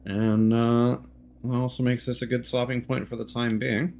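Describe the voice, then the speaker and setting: A man's voice: medium pitch, level tone, normal speed, creaky, a little mellow, slight background noise. A man casually commentating on his video game livestream in a dry, mildly sardonic way. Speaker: video game live streamer.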